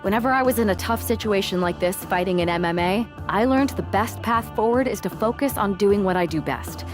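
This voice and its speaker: A woman's voice: mid-range voice, a little fast, lightly acted. A woman playing a brash, combative wrestler in a video game cutscene, talking in a punchy, confrontational way. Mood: assured, frank. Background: music.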